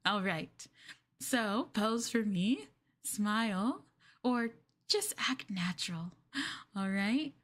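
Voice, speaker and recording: A woman voicing a sweetly possessive, obsessive young girlfriend in an ASMR roleplay, coaxing and cooing between soft speech and whispers. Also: mid-range voice, lively intonation, slow. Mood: giddy, adoring. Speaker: ENVtuber streamer performing ASMR roleplay.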